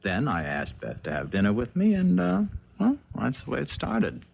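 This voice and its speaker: An actor playing an uneasy ex-con with halting, slangy talk in a 1970s radio drama. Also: male voice, mid-range voice, wildly swooping pitch, gravelly, slightly rough, exaggerated voice. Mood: polite, halting. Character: uneasy ex-con posing as a fiancé, low-voiced hired killer. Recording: muffled vintage radio broadcast.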